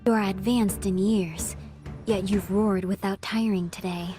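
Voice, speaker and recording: Casting: woman as unyielding game strategist, mid-pitched, formal and measured, faint music behind. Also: steady tone, close to an everyday voice. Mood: blunt, unyielding. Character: coolly rational strategist who weighs lives by odds. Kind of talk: dramatic video game acting.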